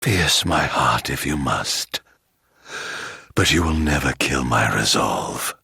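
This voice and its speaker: Game villain: tormented immortal out for revenge, low gravelly voice, very slow, grandiose theatrical phrasing. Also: male voice, animated, pushed and bright, no echo, clean studio sound, heavily acted. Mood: tormented, defiant. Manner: grandiose, archaic wording, slow dramatic delivery. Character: vengeful, tormented immortal man turned self-proclaimed monster. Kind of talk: theatrical video game voice acting.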